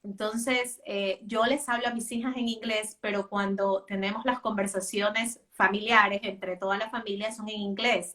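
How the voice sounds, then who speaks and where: A woman's voice: medium pitch, pushed and bright, recorded from a distance. A woman, a native Spanish speaker, talking as a guest about homeschooling, with many fillers and restarts. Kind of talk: casual interview talk.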